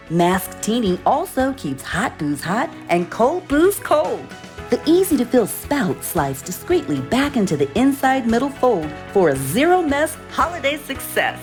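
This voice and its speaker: A woman announcer with a bouncy, salesy read, her pitch leaping all over. Soft music plays under her. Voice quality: salesy, upbeat. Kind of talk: parody commercial read.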